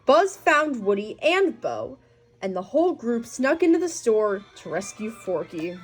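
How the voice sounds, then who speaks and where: A woman's voice: a little high, sing-song, a little slow. A woman reading a children's story aloud in a scripted narration style. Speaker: storybook reader. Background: music.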